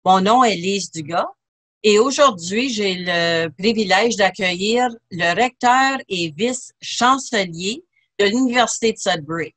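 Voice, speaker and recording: A woman's voice: mid-range voice, measured pace, a bit bright. A woman hosting a church television interview, asking questions hesitantly with frequent fillers. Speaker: TV host.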